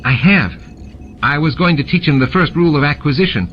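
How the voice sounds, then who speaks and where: A man voicing an excitable, scheming young alien boy in an audiobook, eager and emphatic. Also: fairly high pitch, fairly quick, pitched above the performer's own voice, lightly acted. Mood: bold bluffing. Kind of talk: audiobook character voice. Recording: muffled, with clear background noise.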